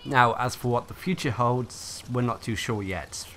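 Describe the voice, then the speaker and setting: A man's voice: mid-range voice, a little slow, a bit bright, a little hiss or hum. A man hosting a gaming channel, narrating a scripted information video.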